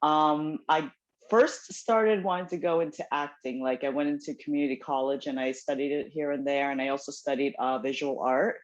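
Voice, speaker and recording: A woman's voice: sits low, steady tone, normal speed. A woman in her forties, raised in New Jersey and, chatting casually as a playwright guest from home, full of ums and self-deprecating asides. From South Korea, raised in New Jersey. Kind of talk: casual interview chat. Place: home, dog barking nearby. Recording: dull lo-fi call audio.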